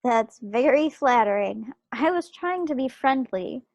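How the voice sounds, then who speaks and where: Wry, quick-witted young small-town woman of the 1940s voiced by a woman, lightly high voice, dry sound, table read. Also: expressive, a little mellow, dry recording, muffled recording. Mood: wry, playful. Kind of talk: stage play table read. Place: at home on a video call. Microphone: computer microphone on a video call.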